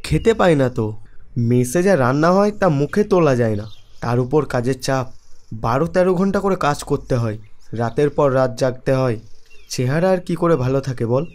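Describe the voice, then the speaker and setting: A young man playing a weary, friendly young software worker who has come home in an animated story. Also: mid-range voice, moderate pace, close to an everyday voice. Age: young adult.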